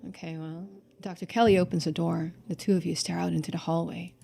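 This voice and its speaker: A woman streamer giving chatty game commentary and expressive narration. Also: lowish voice, a little fast, gravelly. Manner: casual, chatty. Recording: music behind the voice. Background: music.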